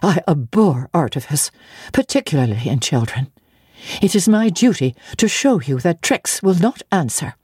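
A woman's voice: deep voice, sing-song, normal speed, darkish tone, husky, no room sound, studio quality, full character voice. A woman voicing a stern, cold aunt who speaks in a peremptory, commanding way.

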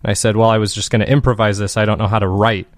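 A man's voice: on the low side, level tone, very fast, no echo, clean studio sound. A man speaking as an interview guest, explaining his creative work in an earnest, reflective, conversational way. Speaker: guest, fiction podcast creator.

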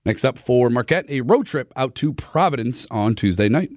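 A man reading a scripted sports report on a morning radio show, brisk and even. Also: mid-range voice, no room sound, clean studio sound. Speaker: sports news reader.

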